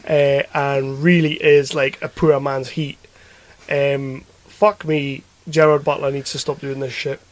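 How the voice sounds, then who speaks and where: A man's voice: mid-range voice, level tone, a little slow. A man hosting a podcast, chatting casually about films in a blunt, sweary, ranting way. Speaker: podcast host reviewing films. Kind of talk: casual chat.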